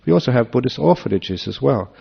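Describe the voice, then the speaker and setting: A man giving a relaxed Dharma talk to an audience, joking and chuckling as he answers questions. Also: medium pitch, fast talker, vocal fry, breathy edge. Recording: dull lo-fi talk recording.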